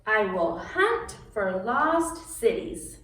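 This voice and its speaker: A woman voicing an imaginative, eager little girl in an expressive, drawn-out picture book reading for children. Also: mid-range voice, exaggerated voice. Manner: expressive, drawn-out storybook delivery. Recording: very echoey room, distant mic.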